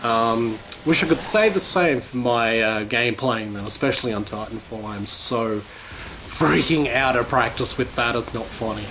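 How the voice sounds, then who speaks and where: A man's voice: mid-range voice, unhurried, noisy background. Sweary rambling man. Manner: rambling, sweary, self-mocking. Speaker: vlogger. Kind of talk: casual vlog chat.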